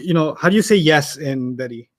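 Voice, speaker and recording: Arabic teacher, man, native German speaker, relaxed storyteller, voice a bit high. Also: fairly quick. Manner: chatty, storytelling, laughs often. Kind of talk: casual teaching chat with anecdotes.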